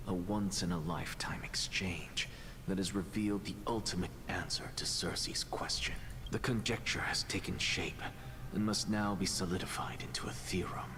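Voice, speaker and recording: An actor playing a cerebral, theatrical scholar in a video game, musing in a measured, erudite, breathy inner monologue. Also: male voice, low-pitched. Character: cerebral, theatrical male scholar musing over his theories.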